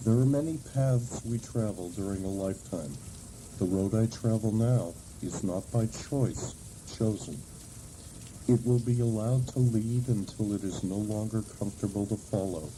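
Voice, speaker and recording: Low-voiced man reading over noise. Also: moderate pace, warm tone. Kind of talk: reflective scripted reading. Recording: noisy recording with hissing, rain-like sound.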